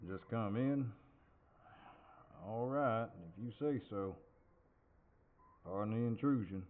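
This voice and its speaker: A man playing a polite, teasing cowboy neighbor in an audio roleplay, with a deep voice and a hesitant Southern US drawl. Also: takes it slowly, phone-quality sound. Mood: polite, awkward. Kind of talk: audio roleplay, intimate one-sided dialogue.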